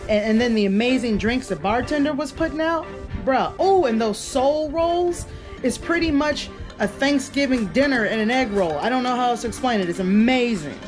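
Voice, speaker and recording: Chatty woman in her thirties vlogging. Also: a little high. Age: 30s. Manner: chatty and candid, laughs easily. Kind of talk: casual vlog talk to camera. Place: bedroom at home.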